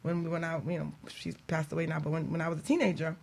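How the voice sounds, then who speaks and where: A woman's voice: low-pitched, level tone, fast talker, creaky, a little mellow. A woman hosting a talk show in a chatty, joking, casual way. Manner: chatty, joking, laughs often. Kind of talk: casual chat.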